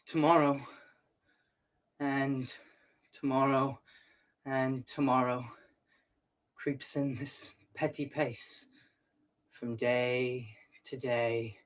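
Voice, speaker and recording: A young man playing a despairing tragic king in a stage monologue, drawing lines out slowly and swinging from loud cries to a whisper. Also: mid-range voice. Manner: slow, drawn-out delivery swelling to cries then fading to a whisper. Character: brooding despairing Scottish king, grown man.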